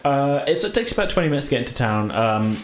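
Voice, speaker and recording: A man improvising narration as a tabletop game master in an informal, halting way. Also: mid-range voice, big pitch swings. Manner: halting, informal, hedging descriptions. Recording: roomy, light background noise.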